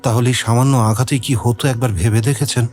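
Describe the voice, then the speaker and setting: An actor playing a nervous, talkative gentleman in an audio drama, hesitant and rambling. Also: mid-range voice, steady tone, brisk, soft background music, natural voice. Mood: chatty, explaining. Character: nervous, talkative middle-class Bengali gentleman with a mystery.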